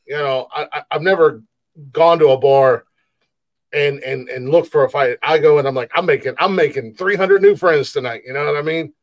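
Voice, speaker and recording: Casting: man in his thirties, big booming voice, rambling podcast co-host, very dry close sound. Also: normal speed, dry recording. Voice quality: big loud voice. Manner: rambling, repeats words, laughs often. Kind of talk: casual podcast chat.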